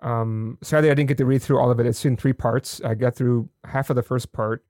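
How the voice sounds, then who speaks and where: A man's voice: mid-range voice, fairly even intonation, brisk, a little mellow, no echo, clean studio sound. A man hosting a casual podcast interview over a video call, chatty and apologetic, often tagging his statements with "right?". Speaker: podcast host.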